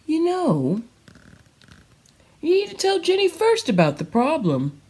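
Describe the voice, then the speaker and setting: A woman's voice: sits high, wildly swooping pitch, a little slow, darkish tone, pitched above the performer's own voice, heavily acted. A woman voicing a kindly, patient pig schoolteacher in a children's storybook, earnest and reassuring, explaining things carefully. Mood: cheerful, instructive.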